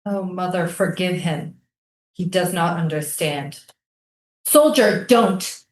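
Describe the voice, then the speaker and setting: A woman's voice: lowish voice, slow. Echoey, defiant native islander, acted by a woman. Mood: pleading. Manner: incantatory, repetitive pleading. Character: defiant, mournful native islander pleading for stolen land. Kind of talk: theatrical staged reading. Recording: echoey room.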